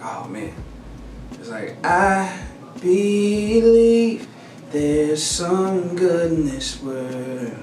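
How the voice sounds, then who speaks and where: A man who writes songs, a guest telling stories in a casual interview, animated and slangy. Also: sits high, extremely slow. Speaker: guest songwriter. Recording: echoey room, distant mic, noisy.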